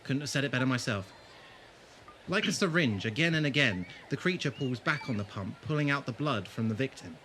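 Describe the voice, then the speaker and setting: An actor playing a sardonic, sharp-minded male adventurer in a fan audio drama, dry and pointed in delivery. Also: medium pitch, animated, slight background noise. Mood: analytical. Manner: dry, pointed, deliberate when pressing.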